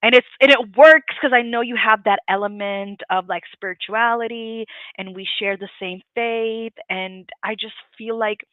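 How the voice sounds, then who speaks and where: A woman's voice: a little high, fairly even intonation, moderate pace, dry recording. A woman hosts a live online interview, talking in an effusive, gushing way and piling on fillers as she goes. Her voice comes through a thin, phone-like line that sounds dry, with no room around it. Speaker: interview host.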